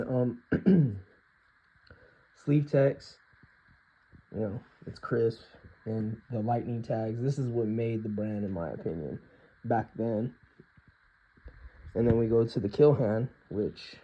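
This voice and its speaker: A man with a hoarse, raspy voice talks through an informal, slangy collector's review. His tone is dark and relaxed, and he is frank with his opinions. The recording is dull and lo-fi. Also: on the low side, slow, long pauses. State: hoarse, frequent throat clearing. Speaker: hoodie collector reviewing products. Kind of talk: casual unscripted compare-and-contrast review.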